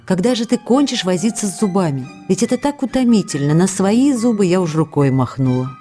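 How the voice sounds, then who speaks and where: A woman playing a loving, sociable actress writing to her husband, reading the letters for radio in an intimate, expressive, chatty way. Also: medium pitch, brisk, faint music behind, lightly acted. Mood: tender, apologetic.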